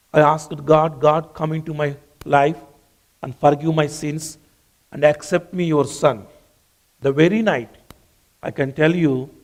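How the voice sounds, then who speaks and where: A man's voice: fairly high pitch, a little mellow. A man whose first language is not English, preaching a sermon earnestly and repeating phrases for emphasis. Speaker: pastor.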